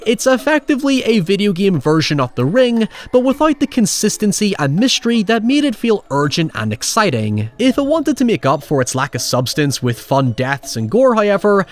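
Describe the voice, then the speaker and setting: A man with a fairly high voice narrates a scripted review as the show's host. His pitch moves around a great deal, giving the delivery a very animated shape. He is opinionated and wry, with a casual, sweary streak. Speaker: host of a film retrospective show.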